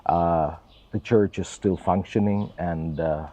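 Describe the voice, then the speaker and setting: Russian-accented man, earnest and low. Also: fairly even intonation, slow pace, dark tone. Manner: earnest, self-deprecating, careful English. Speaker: church assistant and pastor. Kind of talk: interview answers.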